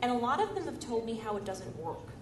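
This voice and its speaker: A woman's voice: fairly high pitch, sing-song, fast talker. A woman moderating a conference panel, engaged and thoughtful, asking questions with a few restarts. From United States. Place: conference stage in a large hall. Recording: echoey hall with audience noise.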